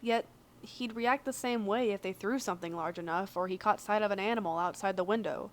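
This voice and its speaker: A woman narrates a piece of fiction in a middle-pitched voice. Her reading is expressive and scripted, yet it sounds conversational and a little wry. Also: a little fast. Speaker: fan fiction reader.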